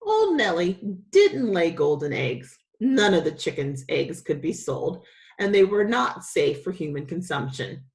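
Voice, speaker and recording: A woman reading a children's story aloud as a teacher, with an expressive, dramatic storytelling delivery. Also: lowish voice, a little slow.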